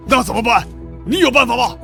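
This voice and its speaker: An actor voicing a scheming, self-preserving city military commander in a motion-comic drama, emphatic and officious. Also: male voice, extremely high pitch, brisk, creaky, music in the background, full character voice. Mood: alarmed.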